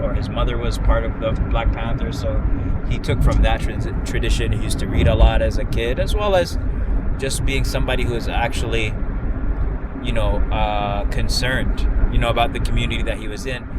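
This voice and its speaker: A man chatting casually and explaining music history, pausing mid-sentence as he thinks, recorded inside a car. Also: mid-range voice. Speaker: co-host. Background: loud vehicle and road noise.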